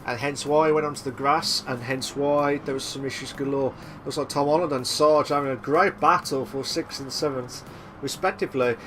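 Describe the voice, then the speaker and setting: A young man doing live sim racing commentary, excitable and repetitive, with a voice he says he is losing. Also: mid-range voice, normal speed, slight background noise. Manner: excitable, repeats himself, shouts at big moves. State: losing his voice.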